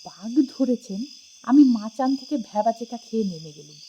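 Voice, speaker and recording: A woman reading a scripted first-person suspense story with dramatic, expressive storytelling. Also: on the high side, normal speed, dull audio, a little hiss or hum. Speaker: audiobook narrator.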